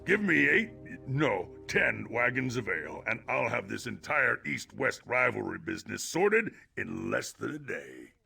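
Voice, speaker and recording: Gravelly, boozy Nord joker. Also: male voice, mid-range voice, sing-song, measured pace, few pauses, bright, forceful tone, exaggerated voice. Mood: boastful, jovial. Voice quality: gravelly, husky. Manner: wry, jovial, garrulous. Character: boozy, irreverent Nord man who solves everything with ale. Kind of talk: video game character dialogue. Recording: music behind the voice.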